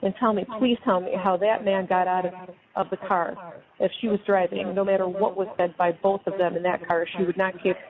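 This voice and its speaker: A middle-aged American woman talking and reading aloud casually on a phone call, blunt and indignant, stumbling now and then. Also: medium pitch. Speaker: mother on a jail phone call. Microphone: speakerphone. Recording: muffled phone line on speakerphone, chatter behind.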